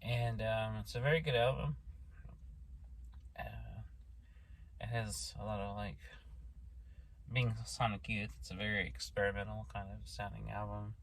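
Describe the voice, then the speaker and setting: Hoarse low-voiced Oklahoma man rambling. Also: fairly even intonation, unhurried, pauses often, a little hiss or hum. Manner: rambling, many fillers and restarts. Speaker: vlogger. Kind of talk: casual talk to camera.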